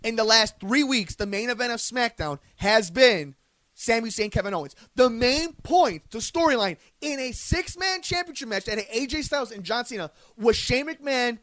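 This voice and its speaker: A man's voice: very high voice, animated, moderate pace, fairly bright tone, no room sound. A man co-hosting a casual sports podcast, insistently laying out his case point by point. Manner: insistent, lays out arguments point by point. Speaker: podcast co-host.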